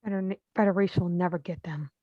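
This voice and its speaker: A woman's voice: lowish voice, steady tone, quick pace, no echo, natural voice. A woman playing a defiant, injured young captive in a table read, fierce and taunting, recorded with dull, muffled audio.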